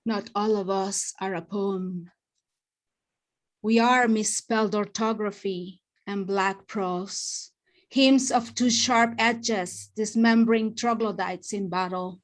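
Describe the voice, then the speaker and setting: A woman recites spoken-word poetry as a slam poet. Her pitch sits in an ordinary middle range, and she sets out each phrase slowly and with deliberation. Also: steady tone.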